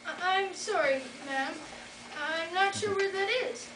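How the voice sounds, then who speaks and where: A woman's voice: very high-pitched, measured pace. A teenage girl playing a kind, gullible, dim-witted male dwarf who stammers, in a school fairytale play. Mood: eager, confused. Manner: stammering, repeating words. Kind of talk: amateur school readers' theater. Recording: echoey noisy hall from far off.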